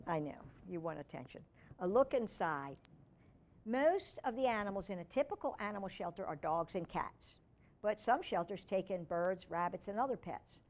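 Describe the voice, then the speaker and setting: A woman's voice: mid-range voice, lively intonation, normal speed, darkish tone. Woman reading aloud for children's storytime. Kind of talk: read-aloud storytelling with informal asides.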